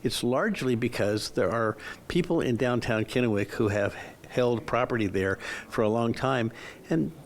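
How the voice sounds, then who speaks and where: A man's voice: mid-range voice, moderate pace, a little hiss or hum. A man running for city council, giving firm, opinionated answers in a news interview.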